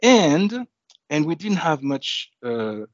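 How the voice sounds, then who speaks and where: A man from Belgium telling his life story in an interview. He pauses often and restarts his phrases as he thinks. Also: fairly high pitch, sing-song, dry recording. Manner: thoughtful, with frequent restarts and asides. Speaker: guest. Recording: dull, slightly muffled audio.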